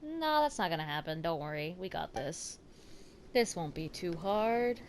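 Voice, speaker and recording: A woman's voice: on the low side, animated, measured pace, a little hiss or hum. A woman streamer casually reacting to a video game, talking in an informal, offhand way. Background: faint game music.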